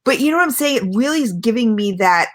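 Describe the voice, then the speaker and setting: A woman's voice: a little high, expressive, quick pace. A woman chatting casually at home as she reviews a product for her channel, rambling and self-deprecating, with lots of fillers. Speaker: vlogger reviewing e-liquids. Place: at home, not her usual setup.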